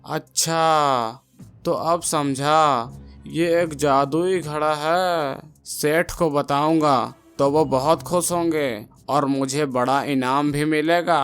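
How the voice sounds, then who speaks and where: Man doing sly servile henchman guy, smooth clear voice, slow talking, eager cartoon sidekick. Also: a little high, a bit bright, soft background music. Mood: sly, amazed. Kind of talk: Hindi moral-story cartoon dub.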